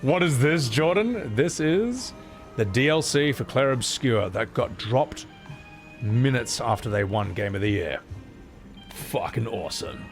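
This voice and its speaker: A male streamer chatting casually as he plays. His pitch moves around a lot and his manner is blokey and sweary, over soft game music. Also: animated, measured pace. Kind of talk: casual chat while playing a video game.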